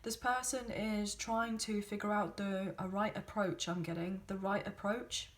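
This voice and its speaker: A woman with a slightly croaky, hoarse voice, giving a chatty tarot reading into a phone, with frequent fillers. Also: mid-range voice, fairly even intonation, unhurried. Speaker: tarot card reader.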